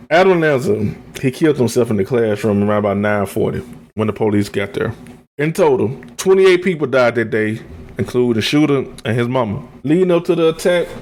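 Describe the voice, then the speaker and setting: American male podcast host, mid-pitched, informal and opinionated, colloquial phrasing with repetition for emphasis. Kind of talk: informal commentary, partly read from notes.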